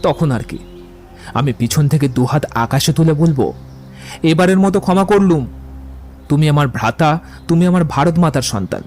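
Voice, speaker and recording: Witty, eloquent young romantic man for audio drama, male actor, theatrical, lively swings in pitch, soft music behind. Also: normal speed, pauses often, lightly acted. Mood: playful bravado. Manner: eloquent, playful, declamatory. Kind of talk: dramatised audiobook dialogue acting.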